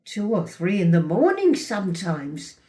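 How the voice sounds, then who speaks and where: Woman acting a slow, wounded, possessive mother, very expressive. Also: medium pitch, far from the mic. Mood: defensive, wistful. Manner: indignant, deliberate, emphatic with dramatic pauses. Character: possessive, wounded, self-righteous mother of a grown son. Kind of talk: dramatic monologue, confiding storytelling.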